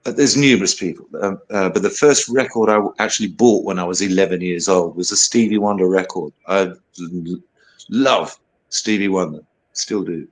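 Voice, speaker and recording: An older British man, a singer-songwriter guest with a low baritone voice, talking in a rambling, hesitant way full of fillers. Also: moderate pace. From United Kingdom. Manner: rambling, hesitant, many fillers and "you know". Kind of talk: casual interview chat.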